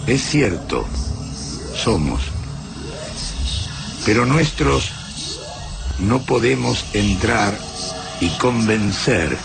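An actor playing a cold, methodical alien captor in a science fiction radio drama, speaking solemnly in halting, broken syntax. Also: male voice, fairly low pitch, big pitch swings, creaky, exaggerated voice. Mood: cold, explanatory. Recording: music behind the voice.

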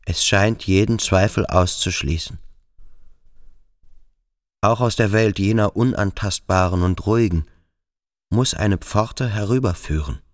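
An actor playing a sensitive, ashamed, brooding boarding-school youth in a radio drama, speaking introspectively in halting, measured phrases. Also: male voice, fairly low pitch, dry recording, clean studio sound, close to an everyday voice. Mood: troubled, questioning. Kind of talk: radio drama acting, inner monologue.

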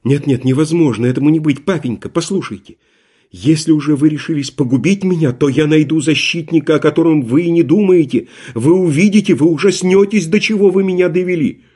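A man's voice: a little fast, dark tone, breathy edge. A man voicing a desperate pleading young noblewoman. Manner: pleading, tearful, raised pitch.

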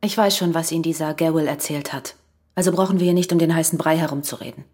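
An actress playing a strained, defiant wife with blunt, curt delivery in a radio crime drama. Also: female voice, deep voice, fairly even intonation, fast, darkish tone.

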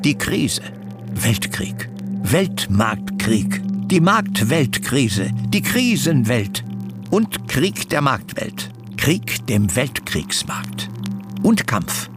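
An actor playing a fervent, grandiose socialist agitator who declaims in fragmented slogans in a German radio play. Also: male voice, medium pitch, very animated, very slow. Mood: grandiose, obsessive. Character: fervent socialist agitator recalling his triumphs, grandiose, obsessive. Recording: studio radio play with loud music.

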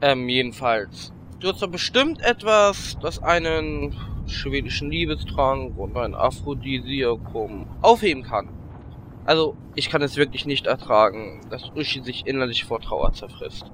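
A man's voice: mid-range voice, expressive, measured pace, a bit bright, a little hiss or hum, low-quality recording. A man playing a whiny, cheeky gnome adventurer in a fantasy parody radio play, rambling and complaining. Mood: pleading.

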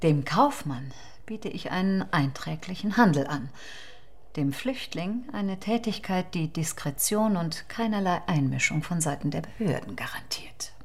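A woman playing a shrewd, seductive brothel madam in a radio drama, speaking with pauses and soft teasing. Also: on the low side, expressive. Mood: sly, inviting.

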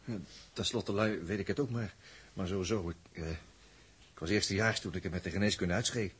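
A man's voice: sits low, fairly quick, a bit bright, husky, heavily acted. An actor playing a stressed young spaceman in a 1960s radio drama, hesitant and urgent, repeating himself as a reluctant amateur surgeon. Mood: uneasy. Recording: faintly noisy 1960s radio recording.

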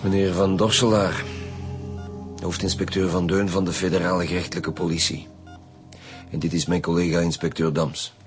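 Man acting senior police detective, Flemish accent, very deep, stern, probing, authoritative, radio crime drama. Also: little pitch movement, normal speed, pauses often, faint music behind, lightly acted. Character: stern, suspicious male chief police detective.

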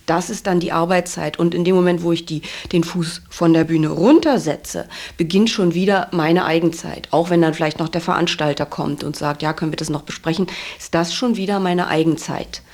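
A woman's voice: lowish voice, steady tone, fairly quick, hardly any pauses, darkish tone. A woman answering interview questions reflectively and conversationally, thinking aloud and correcting herself as she goes. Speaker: stage performer being interviewed.

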